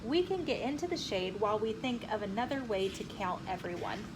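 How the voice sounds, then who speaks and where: A woman's voice: medium pitch, expressive, a little fast, close to an everyday voice. A woman voicing a commanding, helpful nobleman who organizes crowds in a children's storybook, in a declamatory way. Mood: encouraging. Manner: calling out, declamatory. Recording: clearly noisy room with ambient sound.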